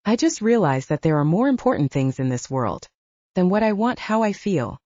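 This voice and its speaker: Woman voicing a soft, brooding, formal male lover. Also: sing-song, moderate pace, no room sound, muffled recording. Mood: grave, restrained. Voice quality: soft, low. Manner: formal, grave, controlled. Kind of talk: audiobook character dialogue.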